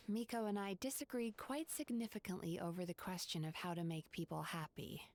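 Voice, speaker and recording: A woman voicing a calm, composed female spirit therapist who talks in a measured, reserved way, in game voice acting. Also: lowish voice, no echo.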